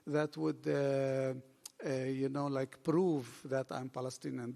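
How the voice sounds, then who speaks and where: A man in his forties, raised in Lebanon, speaking at a panel in an earnest, halting way with many fillers. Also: fairly high pitch, creaky, a little mellow. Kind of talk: panel talk at a book event. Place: bookshop event room. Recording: long hall reverb.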